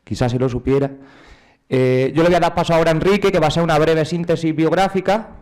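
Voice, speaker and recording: A man's voice: on the high side, steady tone, fast, darkish tone. A man moderating a public tribute event, joking casually and handing over to speakers.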